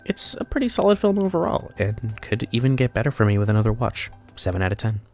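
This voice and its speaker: A man's voice: medium pitch, big pitch swings, fast, a little mellow, faint music behind. A man in his early twenties, nasal and stuffy from a sinus infection, reading blunt, opinionated film reviews in a scripted voice-over. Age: early 20s. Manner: blunt, opinionated verdicts with scores.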